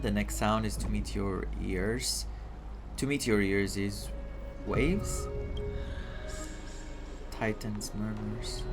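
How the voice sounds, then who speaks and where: A man from Greece doing game playthrough commentary and reading game text aloud in an expressive, enthusiastic manner. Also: mid-range voice. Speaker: gaming streamer. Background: game music.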